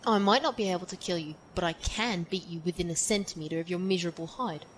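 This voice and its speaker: A woman's voice: lowish voice, fast, slight background noise, lightly acted. An actress playing a tough, confident female soldier with a wry manner in an audio drama. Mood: threatening. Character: tough, cocky female soldier, threatening and loyal.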